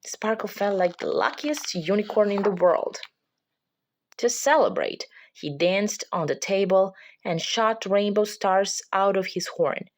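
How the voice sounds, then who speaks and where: A woman's voice: medium pitch, expressive, unhurried. A woman reading a children's picture book aloud as a parent would, in scripted storybook narration.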